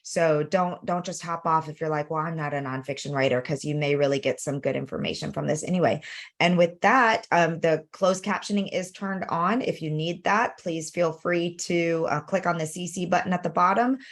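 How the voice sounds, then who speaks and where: Brief: woman, low-pitched webinar host on a video call, chatty and upbeat, plenty of ums and restarts. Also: fairly even intonation, normal speed. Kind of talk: live webinar hosting.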